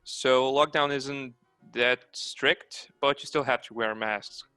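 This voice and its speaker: Male guest for a casual podcast chat; clean, smooth voice, recorded close and dry. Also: mid-range voice, fairly even intonation, takes it slowly, bright, forceful tone, no room sound, faint music behind. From Croatia. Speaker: podcast guest, comic artist.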